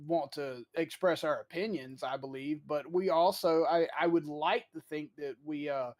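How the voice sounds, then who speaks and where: A man's voice: a little high, normal speed, a little mellow. A man co-hosting a podcast, chatting casually and telling stories in a plain-spoken, laid-back way. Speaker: podcast co-host.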